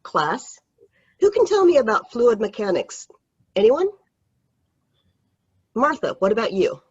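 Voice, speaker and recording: A woman's voice: a little high, sing-song, unhurried, slightly rough, dull audio, full character voice. Woman playing a stern schoolteacher. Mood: firm. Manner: stern, commanding. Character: strict middle-aged female high school teacher.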